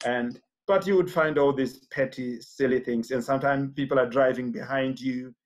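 A man's voice: mid-range voice, steady tone, moderate pace. Middle-aged pastor acting out his stories. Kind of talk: personal testimony on a video call. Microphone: video call.

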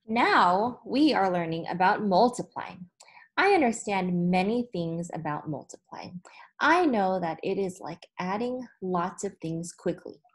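Slow-paced woman teacher reading aloud. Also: medium pitch, lively intonation. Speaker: elementary school teacher. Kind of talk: read-aloud storytelling to children. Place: small indoor room with whiteboard.

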